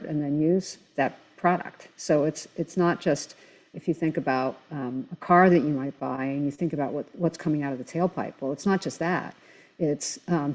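An adult speaker giving a conversational, explanatory account as an expert guest, in a measured way with everyday examples. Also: mid-range voice, warm tone. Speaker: expert guest on environmental talk show.

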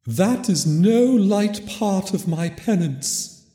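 A man voicing a mournful male ghost in chains, solemn and drawn-out, with an echoing reverb effect. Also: sits high, animated, mellow, pitched above the performer's own voice. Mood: solemn warning. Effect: hall reverb. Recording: long echoey reverb.

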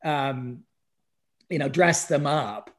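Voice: medium pitch, takes it slowly. A podcast speaker in casual discussion of music history, stammering, repeating words and often checking with "right?". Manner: frequently tags phrases with "right?".

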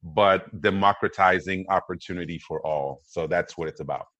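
A man in his forties who grew up in Congo speaks as a podcast guest, his voice sitting very low. He tells stories in an upbeat way, pausing on "uh" and "um" as he goes. The sound is dry and close, with no room around it. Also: no room sound, muffled recording. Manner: warm, upbeat storytelling with frequent "uh" and "um" fillers. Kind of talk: conversational interview.

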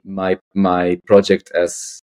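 A man's voice: sits low, monotone, slow pace, a little mellow, clear, resonant tone. A man in his late thirties with a Polish accent, answering casually as an interview guest, hesitant and often repeating words. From Poland. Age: late 30s. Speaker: guest, artist and architect.

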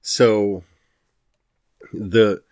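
A man's voice: lowish voice, steady tone, extremely slow, a little mellow. A man hosting a solo podcast, giving a casual, enthusiastic and emphatic review. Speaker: podcast host.

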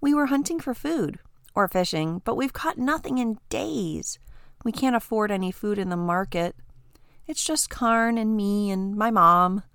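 A woman voicing a small, excitable, curious young boy who blurts eager questions in a storybook reading. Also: mid-range voice, unhurried, clear, resonant tone, no room sound, clean studio sound.

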